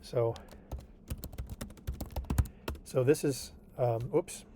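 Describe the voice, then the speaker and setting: A man's voice: medium pitch, steady tone, slow pace, background noise. Patient tutor man checking in often. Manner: patient and reassuring, checks in with frequent "okay?". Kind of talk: online tutoring session walking through homework.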